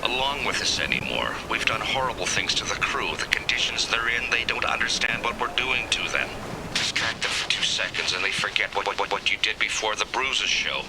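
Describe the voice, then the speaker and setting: Creaky-voiced, guilt-ridden crewman. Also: on the low side, lively intonation, a little fast, noisy background. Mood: guilty, urgent. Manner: hushed, urgent, stumbling. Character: guilt-ridden crewman secretly confessing, desperate. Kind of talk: video game voice acting.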